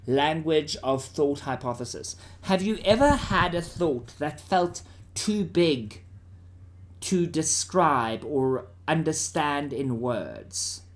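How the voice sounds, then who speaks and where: A man with a South African accent teaching students in an informal, conversational way, often checking that they follow. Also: fairly high pitch. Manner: informal, checks listeners' understanding.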